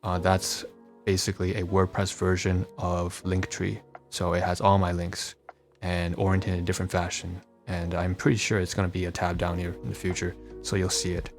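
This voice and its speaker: Low, creaky, flat-toned man rambling casually. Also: normal speed, faint music behind. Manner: rambling, self-correcting. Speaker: podcast host demonstrating his websites. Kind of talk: casual unscripted screen-share walkthrough.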